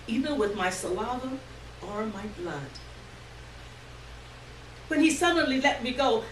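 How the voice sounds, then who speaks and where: A woman giving an expressive, measured dramatic reading of a first-person story before a live room. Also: fairly high pitch. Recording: distant roomy live recording, faint noise.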